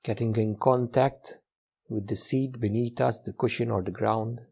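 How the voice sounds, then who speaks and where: A man leading a guided meditation as a teacher, speaking gently in short, unhurried phrases with long pauses. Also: medium pitch, steady tone, creaky, breathy edge.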